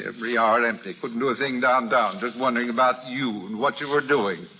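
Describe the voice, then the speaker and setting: An actor playing a devoted, impatient wealthy suitor in 1930s radio drama style. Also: male voice, medium pitch, brisk, breathy edge, lightly acted. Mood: tender, ardent. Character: devoted, impatient wealthy husband-to-be, tender and ardent. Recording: dull, noisy 1930s radio recording.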